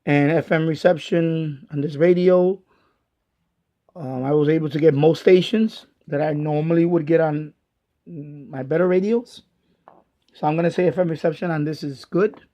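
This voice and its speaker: A man giving a casual hands-on product review in a halting way, repeating himself as he goes. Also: a little high, steady tone, mellow. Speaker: gadget reviewer and radio hobbyist. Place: basement of a house. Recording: dull lo-fi home video sound.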